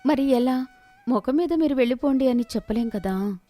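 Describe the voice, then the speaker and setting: A woman's voice: sits high, moderate pace, warm tone, natural voice. A woman voicing an exasperated, crafty young wife, whiny and pleading, in a dramatized moral story.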